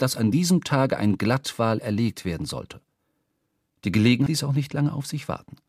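A male narrator with a medium-pitched voice tells a literary story for radio drama. His delivery is scripted yet expressive. The sound is very dry, with no room around it. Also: no room sound.

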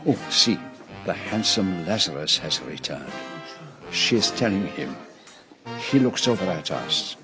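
A man's voice: low-pitched, sing-song, measured pace, vocal fry, over music, heavily acted. A middle-aged Belgian detective in a radio drama. He speaks with a French-speaking Belgian accent in precise, formal phrases, courteous and shrewd, with a theatrical flourish. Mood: brooding, wry.

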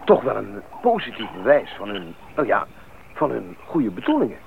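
A man playing a steady, reassuring member of a jungle expedition in a radio drama, with an animated delivery. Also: on the low side, moderate pace, vocal fry, narrow, tinny audio, full character voice. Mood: eager. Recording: thin old radio, faint hiss.

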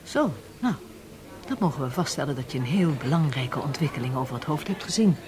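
A woman's voice: sits low, lively intonation, fairly quick, crowd noise. An actress in a Dutch radio drama playing a businesslike intelligence handler who questions her agent in a pointed, formal way. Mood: critical, pointed.

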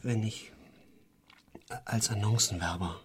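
A man's voice: on the low side, takes it slowly, husky, heavily acted. An actor playing a meek, pleading young newspaper advertising man in a German radio drama, timid and subdued.

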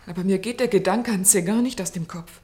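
An actress in a German radio play voicing an earnest clinic assistant, formal, insistent and reproachful, with clear enunciation. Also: female voice, mid-range voice, a little fast, a little mellow, natural voice. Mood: grave, reproachful.

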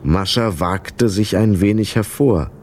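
A man's voice: lowish voice, unhurried, a little mellow, a little hiss or hum. A man narrating a children's radio play as a scripted storyteller.

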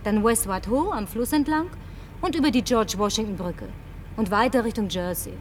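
An actress playing a brash, lonely survivor in a German science-fiction radio play, speaking in a brisk, sardonic, bossy way. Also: female voice, fairly high pitch, recorded from a distance, a little hiss or hum, natural voice. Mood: dry, sardonic. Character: brash, lonely woman, last woman in deserted New York.

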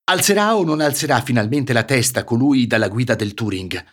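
A man with a northern Italian accent from Ferrara reading a literary story for radio, with measured, theatrical phrasing and deliberate pauses. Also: medium pitch, animated, a bit bright. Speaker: actor reading a short story. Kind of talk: literary audiobook narration for radio.

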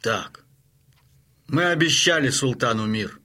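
An actor playing a stern but hearty Cossack chieftain in an audio drama, with a rough voice and a weighty, commanding manner. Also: male voice, mid-range voice, expressive, slow, bright, forceful tone. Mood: firm refusal.

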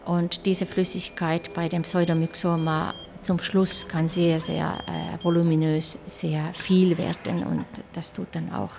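A woman's voice: on the low side, flat delivery, measured pace, hardly any pauses, darkish tone. A woman working as a senior radiologist, explaining medical findings carefully and hesitantly, word by word. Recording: clearly noisy room recording.

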